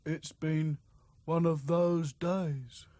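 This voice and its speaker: A man's voice: fairly high pitch, a little mellow, faint music behind, voice pushed up. A man voicing a gloomy, weary male rag doll who sighs and groans as he talks. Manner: slow, sighing, groaning delivery. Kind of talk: storybook narration of a cartoon.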